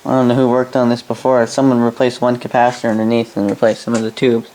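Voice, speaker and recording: A man's voice: medium pitch, monotone, quick pace, a little mellow, rough recording. A man giving casual hands-on commentary while tinkering, muttering to himself and trailing off. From Canada. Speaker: hobbyist radio repairer. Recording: home workshop noise, radio playing nearby.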